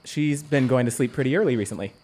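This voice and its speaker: An actor playing a gentle, patient young tour guide who speaks mildly and softly in an audio drama. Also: male voice, mid-range voice, fast talker, slight background noise, close to an everyday voice. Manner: mild, patient and soft-spoken.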